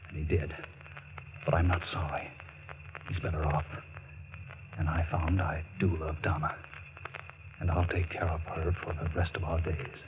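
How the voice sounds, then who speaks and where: Actor doing earnest protective guy, low emphatic voice, old radio drama, muffled sound. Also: close to an everyday voice. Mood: grim resolve. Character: earnest, protective man hiding a cold secret. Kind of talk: 1940s radio drama acting. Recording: muffled, hissy 1940s radio broadcast.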